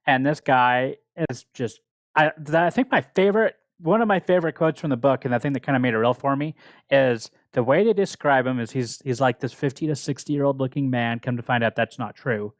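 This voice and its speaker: A man's voice: medium pitch, fairly quick, dry recording. A man speaking casually as a book club podcast panelist, retelling and discussing a story.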